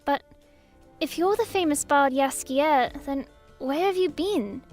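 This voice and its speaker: A woman playing a curious, candid young princess in an audio drama, with a soft, innocent manner. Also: sits high, slow, soft background music. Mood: curious, surprised.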